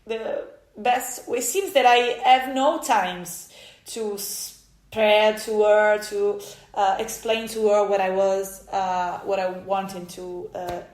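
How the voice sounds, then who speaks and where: An Italian woman with an Italian accent, speaking English as a second language, tells a personal story in a halting, emotional way with many restarts. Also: mid-range voice, fairly even intonation, darkish tone. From Italy. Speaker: vlogger. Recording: echoey room.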